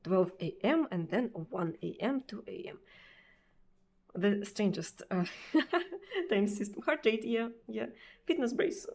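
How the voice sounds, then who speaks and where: A woman's voice: on the high side, lively intonation, slow pace, darkish tone. A Russian woman streamer speaking English with a Russian accent in casual chat, hesitant, with many fillers and repeated words. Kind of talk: casual chat answering viewers.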